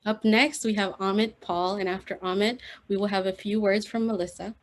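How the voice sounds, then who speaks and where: A woman hosts an online spoken word event over a video call. She speaks in a gentle, appreciative way as she thanks a performer and introduces the next one. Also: medium pitch, fairly even intonation, brisk. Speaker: event host.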